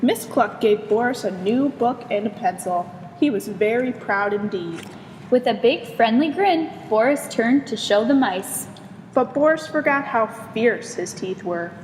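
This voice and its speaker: A woman reading a children's storybook aloud in an expressive narrating style. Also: on the high side, unhurried. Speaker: storybook reader. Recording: echoey reverberant room, faint noise.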